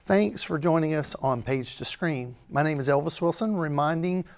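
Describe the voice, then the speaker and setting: A man's voice: a little high, moderate pace, creaky. A man hosting a show, reading a scripted sign-off with thanks and announcements. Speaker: host.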